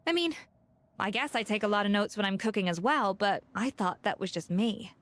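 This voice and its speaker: An actress playing a curious chef who muses over clues in the ruins. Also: female voice, medium pitch, expressive, a little fast, fairly bright tone. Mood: musing. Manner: thoughtful, wondering.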